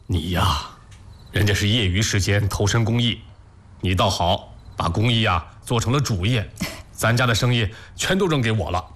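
An actor playing a supportive, affectionate husband in a sentimental audio drama, reassuring and gently teasing with expressive interjections. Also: male voice, lowish voice, normal speed.

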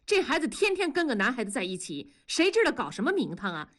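Woman doing a suspicious nagging wife and mom, sharp tongue, voice jumping around, audio drama. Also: a little high, sing-song. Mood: angry, nagging. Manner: nagging, quick to accuse.

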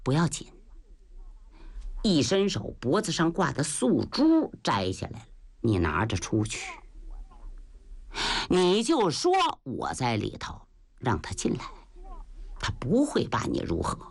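A woman voicing an old male Taoist master who speaks slowly and solemnly. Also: a bass voice, huge pitch swings, creaky, a little mellow, slightly rough, voice pushed down, exaggerated voice. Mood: calm. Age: older. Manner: slow, solemn and soothing.

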